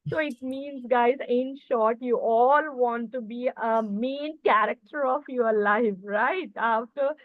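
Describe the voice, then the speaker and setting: High-pitched Indian woman teaching, phone-like sound. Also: slow, hardly any pauses, no echo. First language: Urdu. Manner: didactic, frequent check questions to learners. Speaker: communication skills teacher. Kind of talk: live online class lecture. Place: online video call session. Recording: muffled, narrow-band video call audio.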